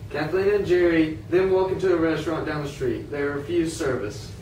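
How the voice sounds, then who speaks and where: A man's voice: on the high side, fairly quick, darkish tone. A man reading scripted narration for an amateur history puppet show. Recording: roomy, distant, noisy amateur recording.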